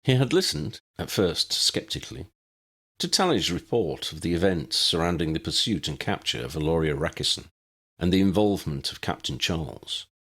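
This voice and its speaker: A man's voice: low-pitched, lively intonation, moderate pace, fairly bright tone, slightly rough. A man reading a scripted story aloud as an audiobook narrator.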